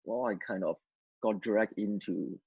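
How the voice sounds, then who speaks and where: Hong Kong man, first language Cantonese, halting storyteller, low voice, dull lo-fi sound. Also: creaky, darkish tone, husky, no room sound. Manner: halting, repeats words, many fillers. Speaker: interview guest. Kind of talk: testimony interview.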